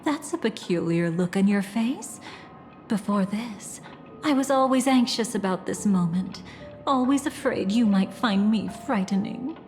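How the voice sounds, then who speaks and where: A woman's voice: medium pitch, animated, a little mellow, natural voice. An actress playing an elegant, mysterious woman in a video game, capricious and playfully menacing, with a teasing, theatrical delivery. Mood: sly, intimate. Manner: theatrical, teasing, silky and deliberate. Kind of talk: video game character dialogue. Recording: long reverb, music behind.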